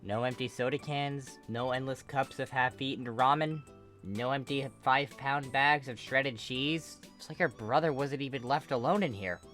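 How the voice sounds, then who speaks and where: A reader narrating a comic fan fiction story in an expressive, emphatic way. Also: medium pitch, normal speed, faint music behind.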